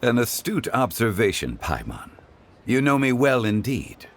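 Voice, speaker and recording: An actor playing a refined, composed gentleman in a video game, speaking formally and eloquently. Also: medium pitch, huge pitch swings, a little hiss or hum. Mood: composed, courteous. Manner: formal, eloquent, measured speech. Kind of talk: video game character dialogue.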